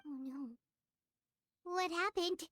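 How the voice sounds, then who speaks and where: A woman voicing a small, childlike companion creature in a video game, with a squeaky, earnest, inquisitive way of talking. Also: takes it slowly, a bit bright, a little hiss or hum, heavily acted. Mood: worried, curious.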